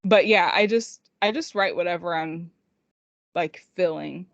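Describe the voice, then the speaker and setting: A woman's voice: lowish voice, a little slow, gravelly, no echo. A woman podcast co-host chatting casually and unscripted, rambling informally with lots of "like", on slightly lo-fi audio. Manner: informal, rambling, heavy use of "like". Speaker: podcast co-host and indie author.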